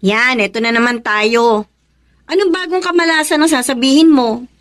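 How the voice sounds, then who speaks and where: An actress playing a strong-willed younger sister in a Filipino radio drama, with an emphatic delivery. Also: female voice, high voice, moderate pace, a bit bright. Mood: defiant.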